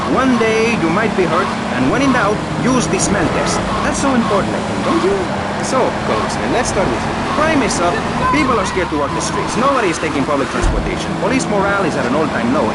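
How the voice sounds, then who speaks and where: A man playing a self-important, neurotic talk radio host, theatrical and easily flustered, in a satirical show. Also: high voice. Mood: pompous. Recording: loud car and street noise over it.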